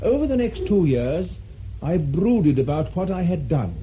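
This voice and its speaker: An actor playing a formal male barrister in a vintage radio drama, speaking in measured oratory. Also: on the high side, very animated, soft background music. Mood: solemn, reading aloud. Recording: muffled, noisy vintage radio recording.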